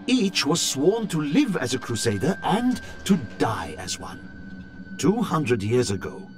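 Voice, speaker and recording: Fantasy game scholar: grave, intrigued journal narration, deliberate and theatrical, very animated pitch, over a music bed. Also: male voice. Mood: mysterious. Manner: theatrical, deliberate, storytelling delivery. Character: learned male scholar-chronicler reading his journal, grave and intrigued.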